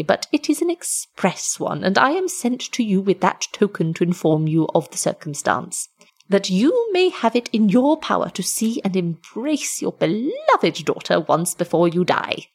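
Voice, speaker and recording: A woman's voice: medium pitch, slightly rough. A woman voicing a small, solemn male dwarf messenger in a story, speaking formally with archaic courtesy.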